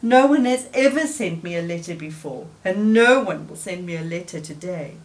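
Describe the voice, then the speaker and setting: A woman's voice: fairly low pitch, lively intonation, normal speed, lightly acted. A woman voicing a gloomy, stubborn male toad in a children's storybook reading, mopey and stubborn. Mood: glum.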